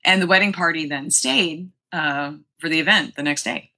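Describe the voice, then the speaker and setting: A woman with a notably low voice that has a bright, forceful edge. She talks in a casual interview style, as an enthusiastic guest who gushes about her job. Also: moderate pace, low-quality recording. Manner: enthusiastic, effusive. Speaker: guest, museum publicity director.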